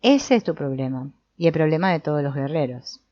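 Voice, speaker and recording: A woman voicing an old male sorcerer teacher, calm and didactic, speaking in a measured, explanatory way with the odd small restart. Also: sits low, no room sound. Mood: explaining. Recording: dull, muffled audio.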